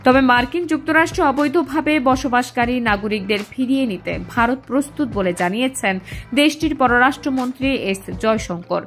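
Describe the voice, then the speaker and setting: A woman news presenter with a Bangladeshi accent speaks in a high voice. Her delivery is formal and measured, clearly read from a script. Music plays underneath her narration. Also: lively intonation, fairly bright tone.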